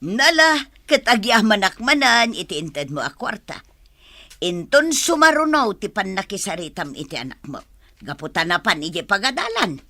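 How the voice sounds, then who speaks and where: Woman acting a lively, wily old grandmother, close-miked. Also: medium pitch, moderate pace, no echo, heavily acted. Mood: grasping, placating. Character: wily grandmother who drinks, gambles and neglects her grandchild. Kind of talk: audio drama acting.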